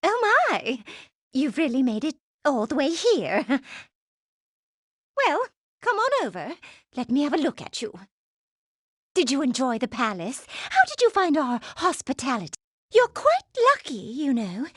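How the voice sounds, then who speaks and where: A woman voicing a vain, gushing gem queen villain in an animated game, theatrical and condescending. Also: sits high, dry recording, studio quality, heavily acted.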